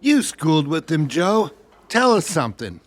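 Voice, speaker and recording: A man's voice: a little high, sing-song, moderate pace. A man playing an eager, challenging little brother in an audiobook, keen and questioning. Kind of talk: audiobook character acting.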